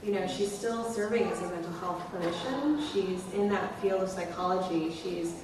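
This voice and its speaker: A woman psychologist explains her views on a convention panel, thoughtful and analytical, pausing on fillers. Her pitch is mid-range. She sounds far from the mic in a heavily echoing room with a faint hum behind. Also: steady tone. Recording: echoey room mic from afar.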